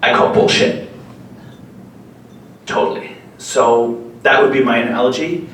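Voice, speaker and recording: A man's voice: mid-range voice, measured pace. A man giving a live talk as a lawyer, joking with the audience and often restarting his sentences. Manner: joking, animated, many restarts and fillers. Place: meeting room with live audience. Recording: echoey hall, mic far off, faint noise.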